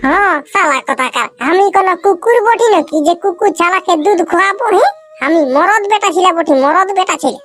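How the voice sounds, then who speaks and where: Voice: extremely high pitch, animated, a little fast, a bit bright. A performer with a Purulia Bengali accent voicing a brash, scheming village boy in a comedy cartoon, boastful and whining in rural dialect. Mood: mocking. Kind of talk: exaggerated comedy cartoon dialogue.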